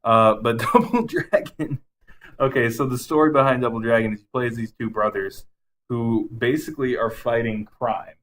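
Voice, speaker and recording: A man's voice: mid-range voice, steady tone, normal speed, fairly bright tone. Enthusiastic, rambling man chatting casually. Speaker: podcast co-host. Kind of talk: casual chat, ranking favorite game movies.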